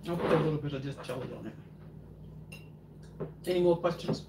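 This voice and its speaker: A woman's voice: deep voice, normal speed, dark tone. An older woman hosting a live home cooking show, chatting and rambling as she answers viewer questions. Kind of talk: casual live chat while cooking. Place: home kitchen, indoors. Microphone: far camera in front of counter. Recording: echoey kitchen, noisy, mic at a distance.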